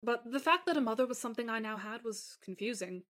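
A woman plays a tender woman in an audio fan drama, newly reunited with the one she loves. Her voice sits fairly high, and she chooses formal, careful words loaded with emotion. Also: animated, fast talker, a bit bright. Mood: reflective. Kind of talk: dramatised fan fiction reading.

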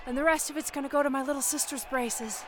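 Earnest female prizefighter played by an actress for audio comedy: high, quick-talking, faint background noise. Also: steady tone, lightly acted. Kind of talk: improvised audio comedy acting.

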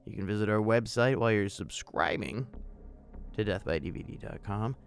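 A man hosts a podcast in a fairly low voice with plenty of gravelly fry. He delivers casual announcements and sign-offs. Faint music sits behind him. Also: normal speed. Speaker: podcast host.

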